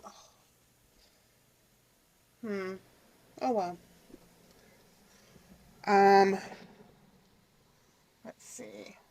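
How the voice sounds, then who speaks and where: Woman, middle-aged, raspy, stuffy with a cold, sniffly, chatty craft vlogger, apologetic. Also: medium pitch, steady tone, drawn-out words, pauses often, a little mellow, lo-fi sound. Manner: chatty, apologetic, talks to viewers while working. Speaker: craft channel host. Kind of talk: casual craft tutorial chat.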